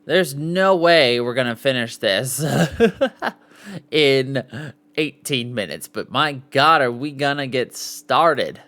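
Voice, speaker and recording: A man's voice: mid-range voice, lively intonation, takes it slowly, sharp tone. A man hosting a casual live podcast, reading aloud and sometimes stumbling over the words. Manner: stumbles over foreign names, sounding them out. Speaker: podcast host.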